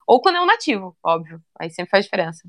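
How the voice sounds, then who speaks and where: Young woman, casual interview chat, relaxed, lots of fillers. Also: medium pitch, fast. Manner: chatty, relaxed, many fillers like "né" and "sabe".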